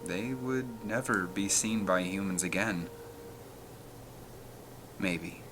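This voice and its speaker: A man who hosts and narrates audiobook readings in an expressive, dramatic way, at times speaking casually to listeners. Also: sits low, takes it slowly, noisy background. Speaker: narrator and channel host. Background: film score music.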